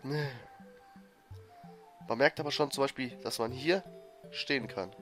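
A man with a cold giving excitable, frustrated commentary over a platform game he is playing. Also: mid-range voice, a little slow. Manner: frustrated, excitable, swears and laughs. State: has a cold, coughing. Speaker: let's player. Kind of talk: gameplay commentary. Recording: faint game music behind. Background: game music.

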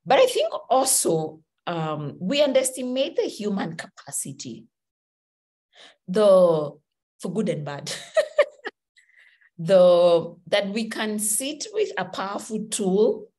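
A Kenyan woman with a slightly low voice gives a motivational talk over a video call. She speaks with animated energy, moving her hands as she explains. The sound is the plain sound of a laptop video call from a room at home. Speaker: webinar speaker.

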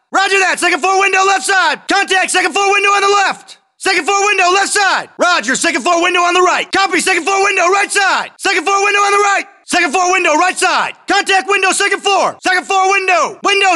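An actor playing an urgent special forces soldier in a video game, barking clipped military callouts. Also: male voice, very high voice, fairly quick, few pauses, sharp tone, shouting, full character voice. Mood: urgent, alert. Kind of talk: shouted military battle chatter, game voice lines.

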